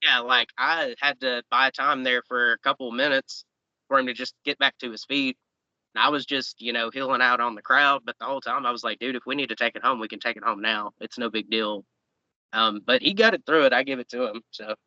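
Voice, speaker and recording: Bright-voiced young man, rambling. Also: mid-range voice, fairly quick, dry recording, muffled recording. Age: 20s. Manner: easygoing, rambling with fillers and restarts. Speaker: professional wrestler guest. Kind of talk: casual interview chat.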